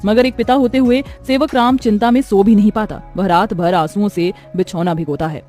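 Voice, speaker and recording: A woman's voice: mid-range voice, moderate pace, mellow. Woman storyteller over faint music. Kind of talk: scripted, dramatised moral story narration.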